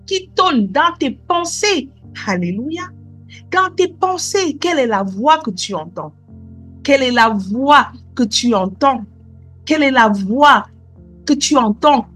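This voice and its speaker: A woman's voice: high-pitched, bright, forceful tone. A woman preaching a sermon, asking repeated questions and punctuating her lines with alleluia and amen.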